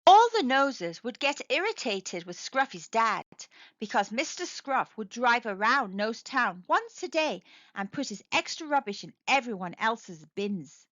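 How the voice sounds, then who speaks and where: A woman gently and expressively reading a children's storybook aloud. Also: fairly high pitch, sing-song, unhurried, no echo, dull audio. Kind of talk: children's read-aloud narration.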